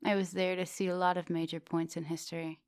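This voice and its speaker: A woman playing a centuries-old vampire English professor in an intimate ASMR roleplay, soft and dominant, doting and teasing, sometimes slipping into breathy whispers. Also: on the low side, flat delivery, fast, dry recording, studio quality, natural voice. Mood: proud, lecturing.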